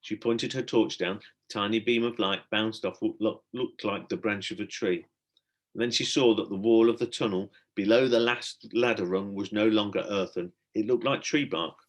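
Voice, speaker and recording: A man reading a children's adventure story aloud as a teacher would, in expressive storybook narration. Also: on the low side, normal speed.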